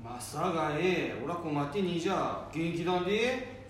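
A man's voice: fairly high pitch, animated, normal speed, natural voice. A man playing a forgetful, stubborn old grandfather in an amateur radio drama, grumbling in a rustic Nanbu dialect of northern Tohoku. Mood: puzzled. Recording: echoey room, distant mic, faint noise.

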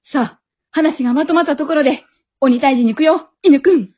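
An earnest young boy hero voiced by a woman in a comedy radio drama. He has a high, boyish voice and an exasperated, pleading way of talking. The audio is a bit dull. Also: normal speed, a little mellow, close to an everyday voice.

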